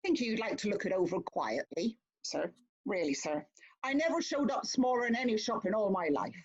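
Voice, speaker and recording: A woman's voice: mid-range voice, animated, normal speed, husky. A woman playing an indignant but deferential servant with hurt pride, in a staged reading of a stage farce. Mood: aggrieved. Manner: aggrieved, deferential. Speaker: actor. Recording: dull remote call audio.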